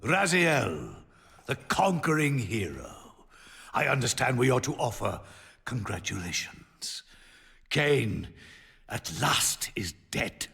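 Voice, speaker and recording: A man playing a smug, fanatical holy commander in a video game, mocking and grandiose, with a measured, gravelly delivery. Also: medium pitch, very animated, bright, forceful tone, heavily acted. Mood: mocking, cold. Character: smug, pompous male fanatical holy commander, cold villain. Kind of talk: theatrical video game acting. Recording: long hall reverb.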